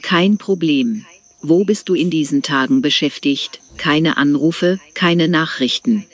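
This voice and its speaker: A man reading his part in a scripted practice dialogue, deliberate and careful. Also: on the high side.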